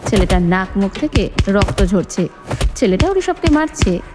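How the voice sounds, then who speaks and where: A woman's voice: mid-range voice, sing-song, normal speed. A woman reading a romance novel aloud as a dramatic story narrator. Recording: long reverb, music underneath. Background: background music.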